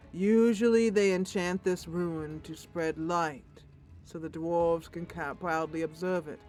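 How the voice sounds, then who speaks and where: A woman voicing a gloomy, fatalistic old male dwarf cleric for a fantasy roleplay, in a slow, resigned manner. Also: low voice. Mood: solemn. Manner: slow, ponderous, resigned delivery.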